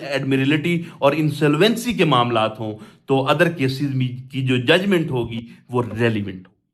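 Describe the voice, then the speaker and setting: A man with a Pakistani accent lecturing on law, reading out and explaining step by step, often asking questions and answering them himself. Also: a little high. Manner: asks rhetorical questions and answers them, repetitive, didactic. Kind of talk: explanatory exam lesson, reading articles aloud then explaining.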